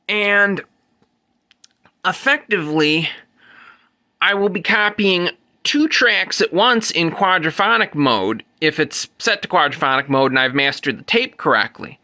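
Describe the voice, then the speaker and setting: A man's voice: sits high, pushed and bright. A man giving an unscripted, methodical technical explanation as a presenter, going into detail and correcting himself as he goes. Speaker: presenter demonstrating vintage audio gear.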